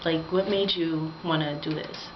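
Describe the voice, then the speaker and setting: A woman's voice: low voice, steady tone, normal speed, close to an everyday voice. A woman playing an earnest, informal interviewer in a student dramatization, with hesitant questions. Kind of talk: student-acted mock interview. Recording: echoey, noisy lo-fi room recording.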